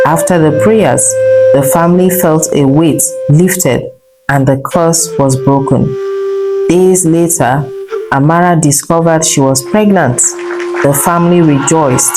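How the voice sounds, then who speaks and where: A woman's voice: low-pitched, low-quality recording. A woman reading a scripted folktale as its narrator. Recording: loud music under the voice. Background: prominent instrumental music.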